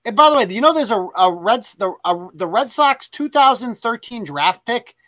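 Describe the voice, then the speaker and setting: A man with a high, bright voice hosting a casual live show, animated and quick to laugh. The sound is dry and close, with no room in it. He chats loosely and reads posts aloud as he goes. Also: no echo. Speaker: host.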